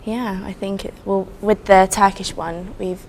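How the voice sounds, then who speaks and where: A young woman in her twenties, a design student, giving soft, brief interview answers with frequent fillers. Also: medium pitch, flat delivery, a little slow, slight background noise, rough recording. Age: 20s.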